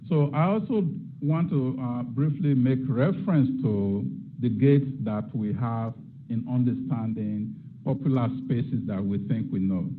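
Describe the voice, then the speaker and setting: Man, lecturer, rhetorical questions, tinny lo-fi sound, faint hiss. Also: medium pitch. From Nigeria. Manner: reflective, asks rhetorical questions. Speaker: guest lecturer. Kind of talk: academic lecture.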